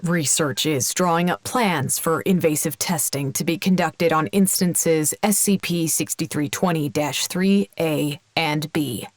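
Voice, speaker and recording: A woman playing a weary, foul-mouthed research scientist in an audio drama, halting and dryly clinical. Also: low-pitched. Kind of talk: audio drama acting, naturalistic.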